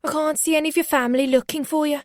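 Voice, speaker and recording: A woman's voice: high-pitched, steady tone, very fast, no room sound, clean studio sound, voice pushed up, lightly acted. A woman voicing a menacing young man who talks in a pushy, commanding way.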